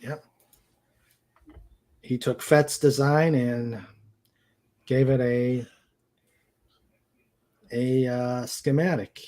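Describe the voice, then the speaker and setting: A man chatting casually as co-host of a livestream, halting and often searching for his words. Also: medium pitch, steady tone, dark tone.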